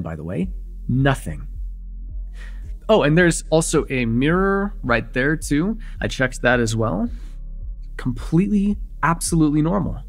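Man acting a breathy, cocky young male vampire. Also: medium pitch, darkish tone, heavily acted. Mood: playful, smug. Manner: rambling, playful, slangy, hesitant asides. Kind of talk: immersive ASMR roleplay acting, casual and conversational.

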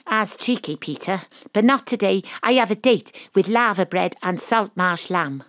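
A woman's voice: mid-range voice, lively intonation, moderate pace, a bit bright, dry recording, close to an everyday voice. Woman playing plucky welsh lady detective, arch and self-important, audio drama, close dry recording. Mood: arch, resolute. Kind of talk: audio drama acting with narration.

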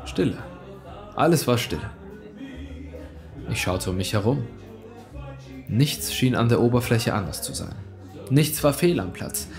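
A man narrates a horror tale. His voice sits at a medium pitch, and he tells the story in a suspenseful, dramatic way. Music plays behind him. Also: animated, unhurried, long pauses, darkish tone. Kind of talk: dramatic scripted creepypasta narration. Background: background music.

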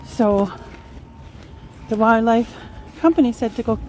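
A woman's voice: fairly high pitch, measured pace, a little mellow, a little hiss or hum, poor audio. A woman talking casually and off the cuff, as a vlogger filming an animal rescue on a phone. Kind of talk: casual unscripted vlog narration. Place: outdoors on grass, later indoors and in a car. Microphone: handheld phone.

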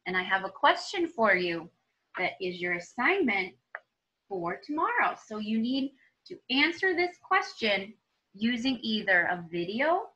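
Bright, high-voiced woman reading aloud. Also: sing-song, unhurried, a little hiss or hum, poor audio. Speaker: teacher reading aloud to pupils. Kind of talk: expressive children's storybook read-aloud. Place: small room at home.

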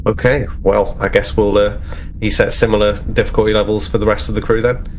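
A man with a fairly low voice plays a tabletop roleplaying game. He improvises casually and haltingly, thinking aloud over faint ambient noise. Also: flat delivery. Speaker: player in a roleplaying podcast.

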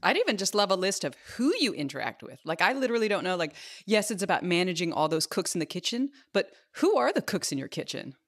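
Lively middle-aged woman co-host. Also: fairly low pitch, quick pace, few pauses. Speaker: podcast co-host. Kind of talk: casual questioning. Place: home kitchen, video call. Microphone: podcast mic close by.

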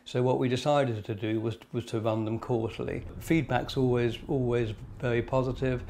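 A man's voice: mid-range voice, steady tone, moderate pace, a little mellow. A male shopkeeper explaining his experience in an interview. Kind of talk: interview answer. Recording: faint room ambience.

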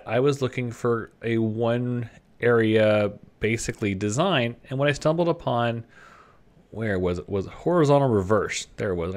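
A man with a mid-pitched voice walks through a software tutorial. His intonation rises and falls in a lively way. He talks informally and conversationally, thinking aloud as he demonstrates each step. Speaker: presenter of a web development tutorial, designer. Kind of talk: informal screen-share tutorial narration.

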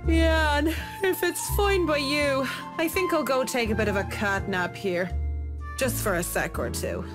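An actress playing a frank, weary interior designer in a visual novel game, speaking in a measured way. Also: female voice, high-pitched, expressive. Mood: drunk, sleepy. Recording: music clearly behind the voice.

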